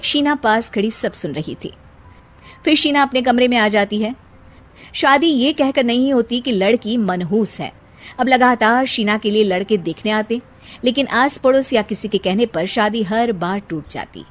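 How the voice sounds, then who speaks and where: A woman's voice: fairly high pitch, normal speed. A woman reading scripted narration for a family moral-story cartoon.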